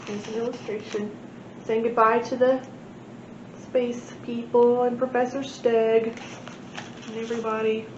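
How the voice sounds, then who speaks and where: A woman's voice: flat delivery, measured pace, pauses often. A woman hosting a children's book read-aloud, with an informal, chatty, enthusiastic manner. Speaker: library read-aloud host. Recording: echoey room, muffled, noisy with low rumble.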